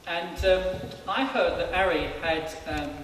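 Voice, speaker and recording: Male interviewer, fairly high voice, informal and a little hesitant, picked up from afar in a reverberant hall. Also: unhurried, fairly bright tone. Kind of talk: informal live church interview. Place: large church hall, indoors. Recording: echoey church hall, distant mic, faint noise.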